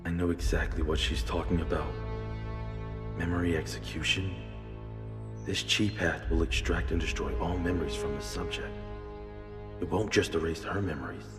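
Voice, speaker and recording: A man playing a grave, reluctant squad commander with a brooding, halting delivery in an anime audio drama. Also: sits low, steady tone, close to an everyday voice. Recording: long reverb under clear music.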